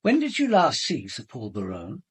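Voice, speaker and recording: An actor with an English accent playing a composed, businesslike police commander, narrating and questioning directly in a radio drama. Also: male voice, mid-range voice, wildly swooping pitch, normal speed, husky, dry recording, full character voice.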